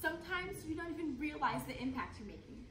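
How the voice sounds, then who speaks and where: A woman's voice: high-pitched, expressive, quick pace, fairly bright tone, a little hiss or hum. A young woman playing an earnest youth pastor telling her story in a projected, theatrical readers theater style. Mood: earnest, reflective. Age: young adult. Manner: projected, emphatic, theatrical delivery. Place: large conference room, judges' table in front. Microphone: distant camera. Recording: echoey room recorded from far off, audience sounds.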